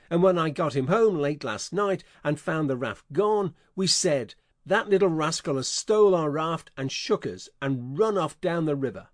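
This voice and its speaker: A man playing a scheming adult con man, speaking in a gruff, folksy, bullying way in an audiobook dramatization. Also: a little high, darkish tone, no echo, studio quality, close to an everyday voice. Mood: grumbling.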